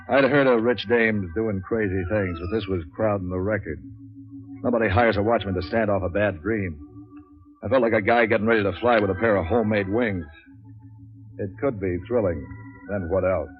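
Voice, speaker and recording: Deep-voiced, deadpan hard-boiled private eye, acted by a man. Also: natural voice. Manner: deadpan, sardonic. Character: cynical, wisecracking hard-boiled male private investigator narrating his case. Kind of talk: 1940s radio noir acting and narration. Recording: muffled old 1940s radio recording, music.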